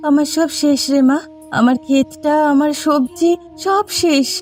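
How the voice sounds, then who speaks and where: A woman's voice: sits high, measured pace, a little mellow, soft background music. A woman voicing a distressed village mother who farms vegetables in a cartoon story. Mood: tearful, despairing. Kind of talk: Bengali cartoon voice acting.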